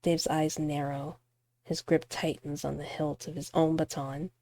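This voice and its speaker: Low, mellow woman reading a game story. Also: flat delivery, a little slow. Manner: acts out character lines while narrating. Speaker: video game player reading a visual novel aloud. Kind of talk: expressive read-aloud narration.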